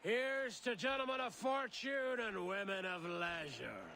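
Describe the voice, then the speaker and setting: Toasting pirate, very high, slow, bright. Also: male voice, vocal fry, a little hiss or hum, full character voice. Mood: jovial. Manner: jovial, toasting. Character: jovial toasting male pirate.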